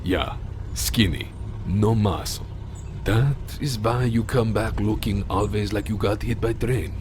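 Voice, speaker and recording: An actor playing a gruff, surly, burly cartoon mechanic, speaking in terse, blunt broken English. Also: male voice, fairly low pitch, unhurried, noisy background, lightly acted. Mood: curt, dismissive.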